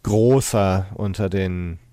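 Man, podcast guest, slightly low pitch, casual, reflective, tentative, dry close recording. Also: slow, mellow, dry recording. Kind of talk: casual discussion.

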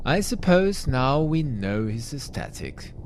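A man's voice: mid-range voice, sing-song, takes it slowly, a little hiss or hum, pitched above the performer's own voice. A man voicing a proud, dry female military architect, formal and self-assured, in an audio story.

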